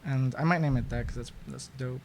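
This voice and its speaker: A man's voice: medium pitch, steady tone, a little fast, gravelly, darkish tone, a little hiss or hum. A young man in a band talking casually about upcoming shows, rambling and stopping to search for words. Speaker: band member. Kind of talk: casual chat.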